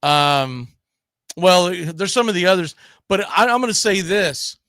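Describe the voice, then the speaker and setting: A man's voice: sits high, animated, a little slow, a bit bright, no echo, clean studio sound. A man hosting a casual sports talk show, arguing his opinions emphatically and often throwing out rhetorical questions.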